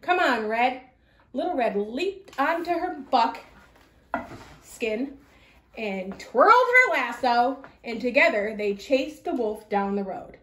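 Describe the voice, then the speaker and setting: A woman with a fairly high voice reads a children's story aloud. Her narration is expressive, and the room adds a clear echo. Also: slow. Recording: echoey room.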